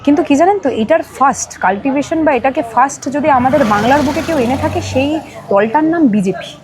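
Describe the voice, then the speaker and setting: High-pitched Bengali woman, emphatic political speaker. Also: a little fast, a crowd in the background, poor audio. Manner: emphatic, speaking in long repetitive sentences. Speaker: political party leader, interview guest. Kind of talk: political interview commentary.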